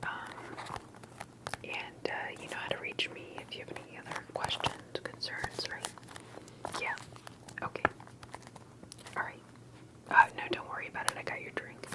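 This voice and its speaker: A woman speaking in a breathy, unvoiced whisper, gently and hesitantly putting questions in an ASMR roleplay interview, with soft unhurried pauses. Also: rough recording. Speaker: ASMR performer playing an interviewer.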